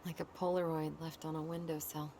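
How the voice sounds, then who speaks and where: Young american woman in a game, guarded and dry, lowish voice, noisy stream audio. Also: level tone, brisk, mellow. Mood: wistful, dry. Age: young adult. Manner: dry, understated. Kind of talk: naturalistic video game cutscene acting.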